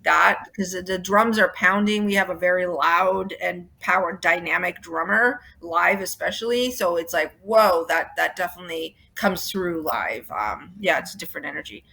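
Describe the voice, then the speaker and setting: Toronto-accented young woman, guest musician; bright, talkative, loose and rambling. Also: on the low side, normal speed, creaky, hardly any pauses, slightly rough. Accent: Canadian, Toronto. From Australia. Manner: chatty, rambling with fillers and restarts. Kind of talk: casual interview chat.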